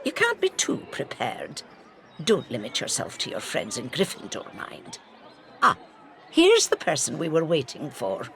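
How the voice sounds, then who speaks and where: A woman's voice: low-pitched, huge pitch swings, a little slow, vocal fry, a bit bright, slight background noise, exaggerated voice. A proper older schoolmistress in a video game. She is stern but kindly, with clipped, admonishing phrases that rise and fall sharply. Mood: brisk, encouraging.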